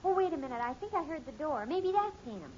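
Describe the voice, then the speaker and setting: A woman playing a sharp-tongued young daughter in a 1950s radio sitcom. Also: high-pitched, very animated, a little fast, slight background noise. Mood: polite. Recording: muffled old radio recording.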